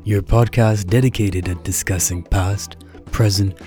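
A male podcast host reading formal interview questions in a measured way. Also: on the low side, steady tone, dark tone, soft background music.

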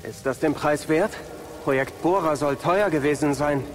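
A man's voice: fairly high pitch, moderate pace, soft background music, natural voice. An actor playing a young male fugitive Jedi, earnest and guarded, in a German audio drama. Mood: defiant.

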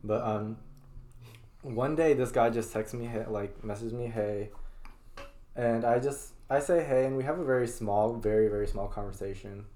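A man's voice: medium pitch, moderate pace, mellow. Chatty young man recounting college days. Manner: chatty, teasing, laughs often. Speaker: podcast co-host. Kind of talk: casual chat.

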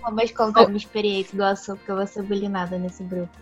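A woman's voice: mid-range voice, fairly even intonation, fast, soft background music. A woman with a Brazilian accent chatting casually in a playful, colloquial way. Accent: Brazilian Portuguese. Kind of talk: casual group chat.

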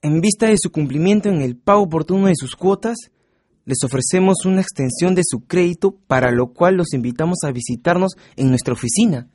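High-pitched, cheeky Peruvian son. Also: male voice, lively intonation, brisk, darkish tone, dry recording, clean studio sound. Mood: eager. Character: cheeky, self-satisfied young son working as delivery rider. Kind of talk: educational radio soap acting.

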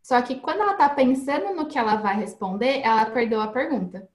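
A woman's voice: on the high side, lively intonation, a little fast, reverberant. A woman guest giving casual, chatty advice on learning English, explaining with everyday examples and frequent tag questions.

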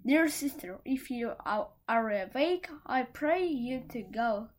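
A woman voicing a polite, admiring sister in a storybook, asking gently and haltingly for tales. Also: a little high, expressive, voice pushed up. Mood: eager admiration. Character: admiring, polite younger sister eager for stories.

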